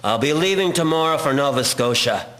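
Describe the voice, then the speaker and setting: A man's voice: fairly high pitch, animated, brisk, fairly bright tone, faint music behind. A man acting a stern, commanding, abusive husband in a historical audio drama. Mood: stern, menacing. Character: harsh, domineering, abusive husband in 1910s rural household.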